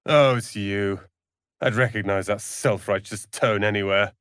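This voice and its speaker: A man's voice: fairly low pitch, fairly even intonation, measured pace, fairly bright tone, clean tone, no echo. A proud young wizard's apprentice for a fantasy game. He speaks in a pompous, cutting, theatrical way, with very close, dry studio sound. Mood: scornful. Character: haughty, ambitious young male wizard's apprentice, proud and sardonic. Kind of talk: dramatic video game voice acting.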